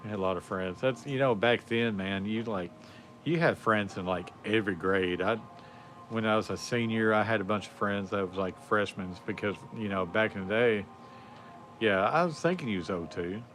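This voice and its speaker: A man's voice: lowish voice, normal speed, a bit bright, faint music behind. A man, chatting casually on a livestream in a rambling, laid-back way with lots of fillers. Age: 40s. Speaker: livestream host. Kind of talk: casual chat.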